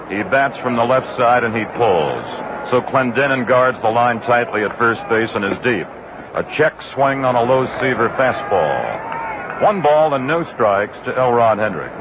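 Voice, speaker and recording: A man giving detailed, brisk baseball play-by-play commentary on live radio. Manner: brisk, detailed, rises in pitch on exciting plays. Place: ballpark broadcast booth. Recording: tinny 1960s AM radio broadcast with hiss.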